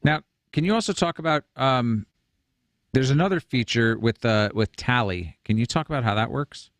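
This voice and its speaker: A man's voice: mid-range voice, lively intonation, normal speed, fairly bright tone, no room sound. A man in his forties moderating a webinar in a conversational way, speaking into a close studio microphone. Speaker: host and moderator. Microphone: close broadcast mic on a boom arm.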